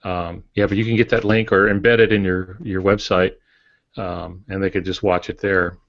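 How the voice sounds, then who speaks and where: Low-voiced guy explaining writing stuff on a podcast, lots of uhs, dry dull audio, faint clicks. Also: moderate pace, no echo. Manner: calm and explanatory, with many "uh"s. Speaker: writing teacher and podcast regular. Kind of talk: casual podcast chat.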